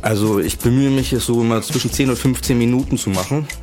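A man's voice: medium pitch, fast talker, a little mellow, faint music behind. A man with a cold, a podcaster guest on a radio show, talking in a hesitant, casual way. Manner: hesitant, with fillers. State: has a cold. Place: radio studio.

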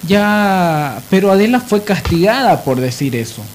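A man hosting an opinionated radio discussion, emphatic and halting as he builds his points. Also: high-pitched, big pitch swings, a little hiss or hum. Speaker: radio host.

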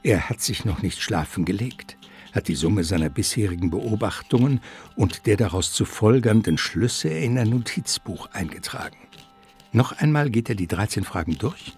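Low, creaky male radio play narrator. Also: expressive, moderate pace, darkish tone, faint music behind.

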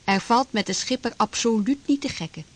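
A woman's voice: fairly high pitch, brisk. A woman narrating a radio drama from a script.

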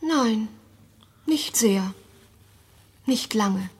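A mysterious young noblewoman in a radio play. Her voice is high and breathy, sometimes dropping to a hush. She speaks in riddles, with haughty insistence. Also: lively intonation, extremely slow, exaggerated voice. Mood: quiet, knowing. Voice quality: breathy, hushed at times. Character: Camilla, enigmatic young noblewoman with hidden ancient past. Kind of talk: scripted German radio drama acting.

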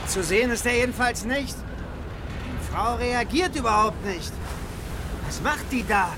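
An actor playing a dutiful, uneasy submarine lieutenant in a radio drama, giving clipped military reports over loud sea noise. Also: male voice, extremely high pitch, expressive, unhurried. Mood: appalled. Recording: loud sea and engine ambience.

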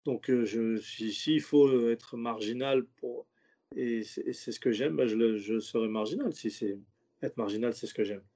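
A man's voice: medium pitch, moderate pace, warm tone. A man who is a stand-up comedian, talking loosely in a casual interview, slangy and rambling with many restarts. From French suburbs.

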